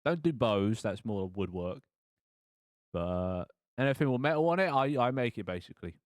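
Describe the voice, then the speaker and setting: A man's voice: lowish voice, expressive, moderate pace, dry recording. Hesitant, sweary man with a dry sound. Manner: self-deprecating, sweary, hesitant. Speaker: game master. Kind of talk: casual improvised tabletop game chat.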